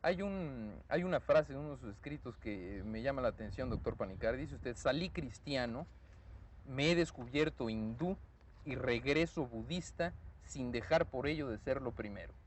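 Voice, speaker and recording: A man's voice: medium pitch, lively intonation. Formal male TV interviewer, faint hiss. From Mexico. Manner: measured, formal, hesitant with "eh". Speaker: host, interviewer.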